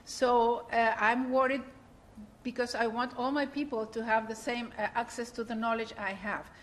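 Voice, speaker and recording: A woman's voice: a little high, flat delivery, moderate pace, slight background noise. A woman from Argentina, moderating a conference workshop in an earnest, explanatory way with frequent restarts. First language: Spanish.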